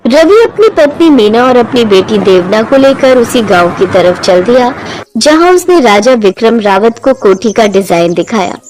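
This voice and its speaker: A woman narrating a cartoon story in a steady storytelling manner. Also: a little high, moderate pace, rough recording. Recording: music underneath.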